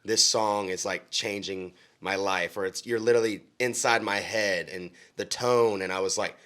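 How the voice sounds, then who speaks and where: A young country singer from Oklahoma answers questions in a relaxed chat. His voice sits a little low, and he talks earnestly, often restarting sentences and filling gaps with ums. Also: moderate pace. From Oklahoma, USA. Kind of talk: casual interview chat.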